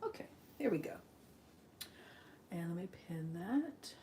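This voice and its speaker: A woman's voice: fairly low pitch, expressive, unhurried, warm tone, a little hiss or hum. A woman chatting casually on a live stream as she sets up, rambling with frequent ums, a little sniffly from allergies.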